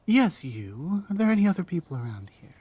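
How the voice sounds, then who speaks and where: A woman playing a timid, wary orc outcast, speaking haltingly with stammered restarts in an ASMR roleplay drama. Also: lowish voice, very animated, warm tone, husky, no room sound. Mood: nervous, pleading.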